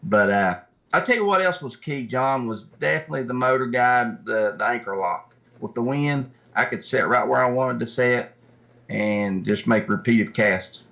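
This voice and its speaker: Gravelly, bright-voiced man on dull lo-fi audio. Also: mid-range voice, normal speed. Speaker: guest angler, tournament fisherman. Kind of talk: casual chat, recounting and explaining.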